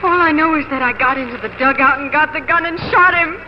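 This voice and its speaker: A woman's voice: high voice, fast, slight background noise, natural voice. An actress playing a devoted, easily frightened young pioneer wife and mother in a theatrical, earnest 1940s radio drama style. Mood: terrified. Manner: earnest, theatrical letter narration. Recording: muffled 1940s radio broadcast with music.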